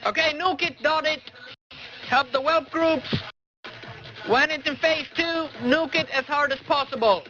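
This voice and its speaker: A man's voice: very high voice, a little slow, long pauses, pushed and bright, muffled recording. A man leading an online game raid, angrily barking repetitive, profane orders over old voice chat. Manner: angry, profane, commanding, repetitive.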